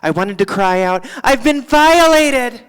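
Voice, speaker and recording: A performer playing a boozy, world-weary middle-aged female comic, doing a rambling, deadpan stand-up monologue live on a theatre stage. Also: mid-range voice, measured pace, clear, resonant tone, in a large hall, natural voice. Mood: confessional, swaggering. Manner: rambling, deadpan, theatrical, swinging between asides and outbursts.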